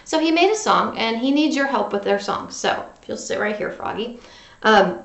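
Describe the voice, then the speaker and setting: A woman with a high voice speaks as a storytelling teacher to young children. She is conversational and very lively, moving up and down in pitch. The room adds a clear echo. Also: normal speed. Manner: animated, conversational, addressing children directly. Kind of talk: children's read-aloud lesson, talking to young pupils. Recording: roomy, echoing home recording.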